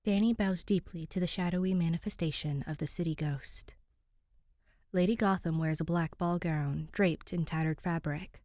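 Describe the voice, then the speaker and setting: A woman's voice: fairly low pitch, fairly even intonation, normal speed, warm tone, dry recording, clean studio sound. A woman reading a story aloud as a scripted fiction narrator. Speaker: podfic narrator.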